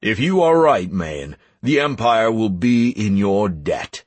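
A man's voice: on the low side, expressive, breathy edge, no echo, exaggerated voice. A man playing a grave male imperial advisor who speaks formally, in a fantasy audiobook. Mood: solemn. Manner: slow, formal.

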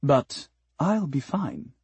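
A woman's voice: sits low, expressive, slow, darkish tone, breathy edge, no room sound, heavily acted. A woman voicing a smug, aloof female cat in an audiobook, with a purring voice and a curt, languid, haughty manner. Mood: smug, unbothered.

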